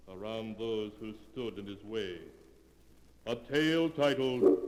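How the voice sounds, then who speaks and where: An ominous narrator of old radio terror tales, performed by a man. He speaks slowly and solemnly with theatrical weight, through a noisy vintage broadcast recording. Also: mid-range voice, lightly acted. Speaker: announcer and narrator. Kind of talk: 1940s radio horror narration.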